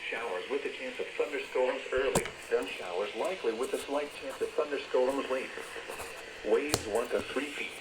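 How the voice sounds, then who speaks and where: An automated male voice with an American accent reads a scripted weather bulletin over the radio in a flat, measured, synthetic way. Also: mid-range voice. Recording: noisy weather radio broadcast, strong static.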